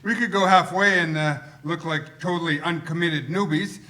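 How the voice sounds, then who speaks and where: An actor playing an overeager man fired up for fantasy play, excitable and needling, in an animated comedy read. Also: a little high, normal speed. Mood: eager. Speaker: voice actor at a live table read.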